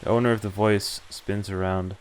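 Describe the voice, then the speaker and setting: A man's voice: fairly low pitch, little pitch movement, normal speed, a little hiss or hum, low-quality recording. A man streaming a game, reading its story text aloud in an informal way. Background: game music.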